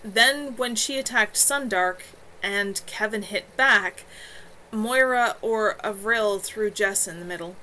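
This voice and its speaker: A woman's voice: medium pitch, takes it slowly, bright, forceful tone. A woman voicing a frank, caring woman healer in a storybook reading. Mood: grave. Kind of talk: amateur storybook dialogue reading. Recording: noisy home livestream audio.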